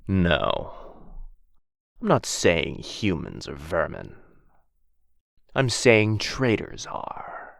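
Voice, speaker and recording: Man voicing a deep, slow, possessive evil dragon. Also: huge pitch swings, creaky, a bit bright, no room sound, studio quality, heavily acted. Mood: scornful, indignant. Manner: condescending, drawling, theatrical, with rhetorical questions. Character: possessive, smug, menacing male evil dragon captor. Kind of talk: boyfriend ASMR fantasy roleplay.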